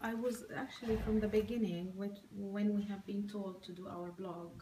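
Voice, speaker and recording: A young woman with an Arabic accent, English her second language, explaining her artwork in halting speech full of pauses and fillers, recorded on a phone in an art studio. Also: medium pitch, steady tone, mellow, echoey room, distant mic, a little hiss or hum, low-quality recording. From Egypt. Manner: hesitant, searching for words, many fillers. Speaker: art student. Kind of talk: talking through her artwork. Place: art studio classroom, indoors.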